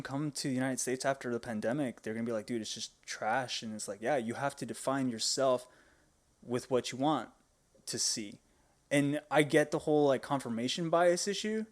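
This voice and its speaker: Informal, slangy man podcasting. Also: medium pitch. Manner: informal, slangy, rambling, sprinkles "dude" and "like". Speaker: podcast co-host. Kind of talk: casual podcast discussion.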